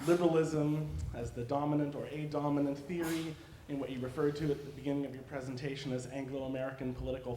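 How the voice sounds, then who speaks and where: Measured male questioner in echoey hall. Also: fairly high pitch, mellow. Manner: measured, carefully qualified phrasing. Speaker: audience member asking a question. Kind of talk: formal academic question. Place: lecture hall. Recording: echoey hall, faint background noise.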